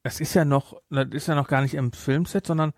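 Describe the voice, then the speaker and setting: A man with a German accent chatting casually about a film as a podcast host, with an animated, teasing manner. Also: a little high, a little fast, no echo, studio quality. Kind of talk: casual chat, film discussion.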